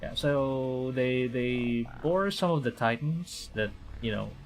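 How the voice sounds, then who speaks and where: A man giving casual, halting live game commentary and reading story text aloud. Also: medium pitch, faint music behind. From Philippines. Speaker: streamer.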